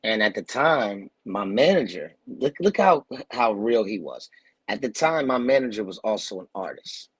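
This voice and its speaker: Husky-voiced man telling stories in a laid-back way. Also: fairly low pitch, big pitch swings, normal speed, no room sound. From New Jersey. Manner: laid-back, laughing storyteller with slang. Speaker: guest actor. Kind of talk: casual storytelling interview. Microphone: video call.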